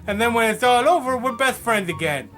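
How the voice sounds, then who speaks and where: A man plays a cute, childlike comedy mascot with a bright voice. The character rambles naively and hesitantly, eager to please, as if hosting a show. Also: extremely high pitch, animated, a little fast, soft background music. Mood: rambling, naive. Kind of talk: comic skit monologue.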